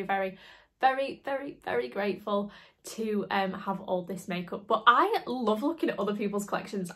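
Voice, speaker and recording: A woman's voice: mid-range voice, pushed and bright. A young woman in her twenties, slightly nasal from hay fever, chatting enthusiastically to camera in her makeup room at home. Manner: chatty, enthusiastic, repeats "really, really". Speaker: beauty vlogger. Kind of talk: casual vlog talk to camera. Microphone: camera in front, some close-up product shots.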